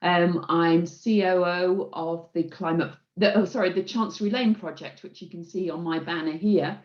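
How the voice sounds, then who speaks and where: An English woman introduces herself briskly on a video call. Her voice is lowish, and the audio sounds roomy and dull, with the reverb of a hard-walled room. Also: fairly even intonation, darkish tone. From England. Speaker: chief operating officer.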